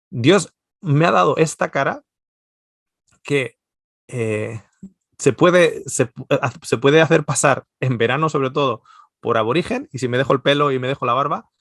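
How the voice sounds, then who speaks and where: A middle-aged man from the Basque Country in Spain, speaking with a Spanish accent and giving an animated, digressive seminar talk full of restarts. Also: mid-range voice, unhurried, vocal fry, long pauses, no echo, studio quality.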